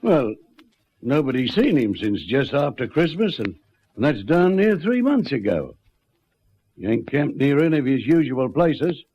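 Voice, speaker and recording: A man's voice: medium pitch, sing-song, measured pace, slightly rough, heavily acted. A man playing a worried, stubborn country bushman father with a rural Australian accent, drawling and ungrammatical, in a radio drama. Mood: worried, insistent. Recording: muffled old radio recording.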